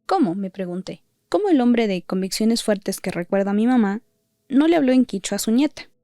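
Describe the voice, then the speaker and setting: A woman journalist hosting a podcast, giving measured, reflective scripted narration. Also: on the high side, expressive, dark tone, dry recording, studio quality. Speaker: journalist host.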